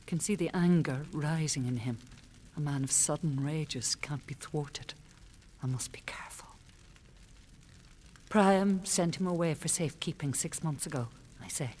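An actress playing a grieving, defiant queen of a fallen city, speaking in a bitter, measured and scornful way in first-person radio drama narration. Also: deep voice, expressive, darkish tone, in a large hall, a little hiss or hum. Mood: guarded.

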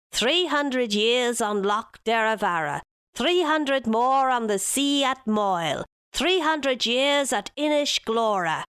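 A woman's voice: a little high, a bit bright, clear, resonant tone, dry recording, clean studio sound, voice pushed up, exaggerated voice. A woman voicing a wicked, scheming stepmother sorceress, chanting her curses with menace in a rhyming storybook tale. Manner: menacing, incantatory.

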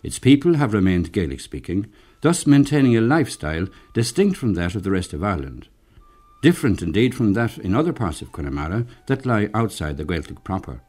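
A man reading scripted documentary narration in a measured, formal way. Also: on the low side, very animated, a little mellow.

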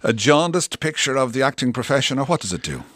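A man's voice: mid-range voice, very animated, fast talker, fairly bright tone, no room sound, studio quality. A man interviewing a guest, asking brief, polite questions. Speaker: radio interviewer.

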